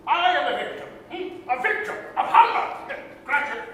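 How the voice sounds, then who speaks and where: A man's voice: very high-pitched, very animated, measured pace, bright, forceful tone, heavily acted. A man playing a bitter, miserly old businessman in a theatrical, scornful radio drama style. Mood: grudging. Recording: echoey hall, distant, faint noise.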